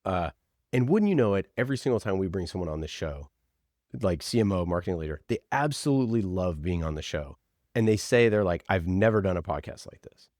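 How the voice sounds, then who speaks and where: A man with a low voice talks casually as a podcast guest. He is passionate and emphatic, often posing rhetorical questions to press a point. The sound is dry, with very little room in it. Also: big pitch swings, a little fast, a little mellow, no echo. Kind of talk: casual conversational interview talk.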